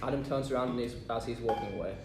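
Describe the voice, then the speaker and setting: A man reads stage directions as narrator at a table read, moving quickly through the script. He sounds distant in a roomy space, with clear noise behind him. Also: mid-range voice.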